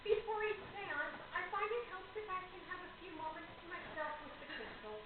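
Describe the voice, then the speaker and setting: Young female séance medium for radio play, played by an actress: very high, solemn, theatrical, heard through heavy noise. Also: lively intonation, a little fast. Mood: confiding, weary. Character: confident theatrical young woman spirit medium. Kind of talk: amateur radio play acting. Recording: very noisy, tinny, echoey distant recording.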